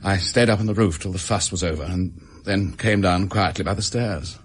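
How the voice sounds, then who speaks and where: An actor with an English accent playing a desperate, bitter office worker making a halting confession in a radio drama. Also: male voice, low voice, breathy edge. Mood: bitter, defiant.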